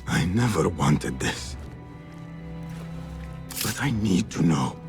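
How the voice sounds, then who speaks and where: Defiant, driven young assassin: gravelly edge, resolute delivery, cinematic score underneath. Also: male voice, low-pitched, sing-song, slow pace, exaggerated voice. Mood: pained, resentful. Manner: intense, resolute. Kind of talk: dramatic video game cutscene acting. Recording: loud cinematic game music underneath.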